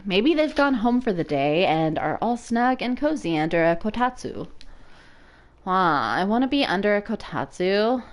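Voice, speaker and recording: A woman narrating a visual novel let's play as a theatrical dramatic reading. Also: medium pitch.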